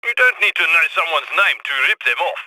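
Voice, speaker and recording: Crisp heist planner. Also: male voice, fairly high pitch, sing-song, a little fast, bright, forceful tone, full character voice, rough recording. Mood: commanding. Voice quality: crisp commanding instructions. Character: brisk male heist planner on comms, British. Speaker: mission handler. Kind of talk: video game voice lines.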